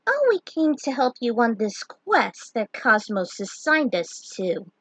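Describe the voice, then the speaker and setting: A woman's voice: a little high, big pitch swings, a little slow, fairly bright tone, breathy edge, no room sound, pitched above the performer's own voice, heavily acted. A woman voicing a beaming, cheeky young elven girl in a fantasy story. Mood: chirpy.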